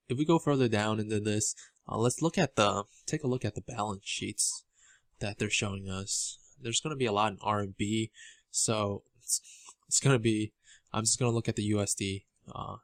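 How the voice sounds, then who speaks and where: A man giving informal financial commentary as a video host, halting and often repeating words as he explains. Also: lowish voice, steady tone, dry recording. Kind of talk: informal financial commentary over documents on screen.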